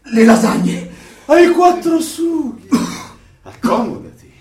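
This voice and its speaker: A man's voice: very high-pitched, very animated, takes it slowly, a little mellow, full character voice. An actor playing a foul-mouthed, sadistic tormentor in a theatrical radio drama, domineering and mocking as he reels things off. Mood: mock-polite menace. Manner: domineering, mocking, reeling off lists. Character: foul-mouthed, sadistic bullying tormentor forcing a captive to eat. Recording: roomy, distant stage recording with audience.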